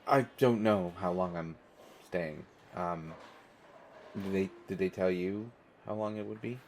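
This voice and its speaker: A man's voice: sits low, measured pace, a little mellow, a little hiss or hum. A man playing a stressed, self-deprecating airport stranger in a conversational audio roleplay, talking in hesitant, rambling stammers. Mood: nervous, friendly.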